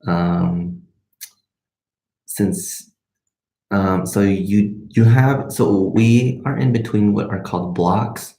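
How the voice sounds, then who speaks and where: A man's voice: fairly low pitch, drawn-out words, pauses often, mellow. A man in his twenties, a native Spanish speaker and medical student, chatting casually on a livestream and answering questions in a rambling way, trailing off mid-sentence. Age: 20s. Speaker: medical student and vlogger hosting a live question session. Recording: roomy, echoing sound.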